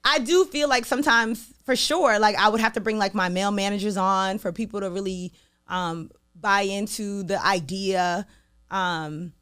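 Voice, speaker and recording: A young woman with a New York accent chatting casually as an interview guest, talking in an animated way with lots of "like" fillers. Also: mid-range voice, normal speed, clean tone. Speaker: guest, radio sales executive.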